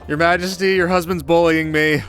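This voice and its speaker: A man playing an earnest, playful young warrior in an audio drama, joking and quick to get defensive. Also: fairly high pitch, steady tone, fairly bright tone, soft background music, close to an everyday voice. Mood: flustered. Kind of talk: comic audio drama acting.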